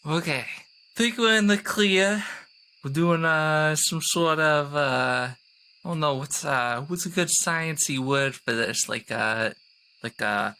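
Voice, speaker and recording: A man's voice: a little high, slow pace, bright, forceful tone, clear, resonant tone. A man playing a tabletop role-playing game, bantering casually and improvising as he goes.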